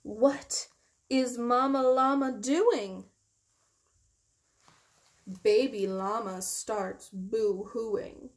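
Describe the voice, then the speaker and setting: Slow-paced young woman reading a storybook. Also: a little high, fairly even intonation. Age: young adult. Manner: slow, deliberate, drawn-out key words. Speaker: teacher reading a children's storytime. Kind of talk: expressive picture-book read-aloud with simple explanations for children.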